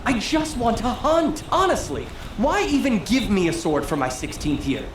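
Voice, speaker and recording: A man's voice: sits high, very animated, moderate pace, reverberant, background noise. A young man playing a petulant, sheltered teenage noble in a video game, theatrical and haughty. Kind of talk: video game dialogue acting.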